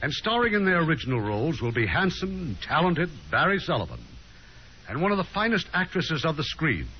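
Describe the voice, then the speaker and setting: American male host, showmanlike 1950s radio delivery, very varied pitch, faint noise. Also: sing-song, fairly quick, muffled recording. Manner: showmanlike, promotional. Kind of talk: scripted 1950s show hosting.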